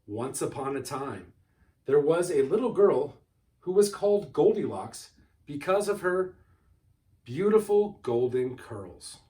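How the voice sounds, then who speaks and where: Slow-reading male storyteller, distant mic. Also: a little high, dark tone. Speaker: school principal reading a children's story. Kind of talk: storybook read-aloud. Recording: distant microphone.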